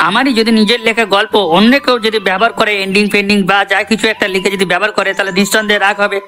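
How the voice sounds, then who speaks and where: A man's voice: high voice, sharp tone. A man voicing an assertive comedy cartoon character, emphatic and matter-of-fact. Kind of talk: cartoon character addressing viewers. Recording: voice over background music.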